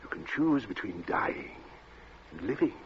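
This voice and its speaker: An actor playing a cold, urbane captain in an old radio thriller, speaking in a suave, measured way with polite menace and small hesitant asides. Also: male voice, on the low side, big pitch swings. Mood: coldly threatening. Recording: dull, noisy vintage radio recording.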